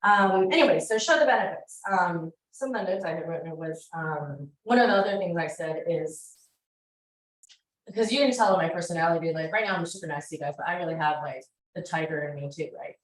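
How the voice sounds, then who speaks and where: A woman teaching a real estate class, chatty and energetic, with many fillers. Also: lowish voice, fairly quick, a little hiss or hum. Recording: roomy classroom echo.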